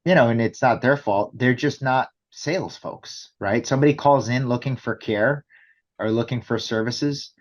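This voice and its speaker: A man's voice: mid-range voice, moderate pace. Dull-sounding recording of a man explaining. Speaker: company executive guest. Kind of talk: conversational interview explanation.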